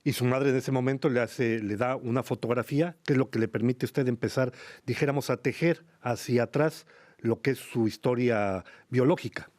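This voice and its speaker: A man hosting a radio interview, speaking in a measured, formal way and leading with long framing questions. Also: medium pitch, darkish tone. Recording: radio broadcast studio.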